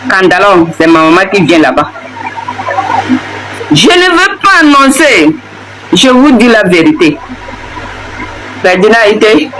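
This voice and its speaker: Heated high-voiced Togolese man ranting. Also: very animated, measured pace, pauses often, a bit bright, a little hiss or hum, low-quality recording. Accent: Togolese French. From Togo. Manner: heated, repetitive, scolding. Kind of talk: impassioned political rant to camera.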